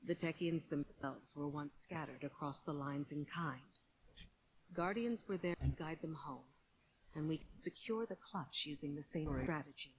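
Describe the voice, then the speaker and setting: A woman's voice: low-pitched, normal speed, husky, low-quality recording. A woman playing a dutiful military officer in a video game, speaking in a firm, businesslike briefing manner. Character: brisk, dutiful female military officer, skeptical but loyal. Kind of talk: game mission briefing dialogue.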